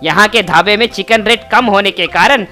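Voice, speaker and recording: Bright, high-pitched brash trader played by a man. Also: moderate pace, faint music behind. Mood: indignant. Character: brash, grudging male chicken trader running a roadside eatery. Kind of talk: Hindi animated moral story dubbing.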